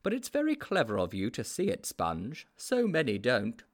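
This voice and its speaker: A self-important storybook detective hero, played by a man with grand ideas of adventure. The voice is pompous and emphatic, leaping up and down in pitch as it explains. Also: wildly swooping pitch, normal speed. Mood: smug. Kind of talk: storybook character voice.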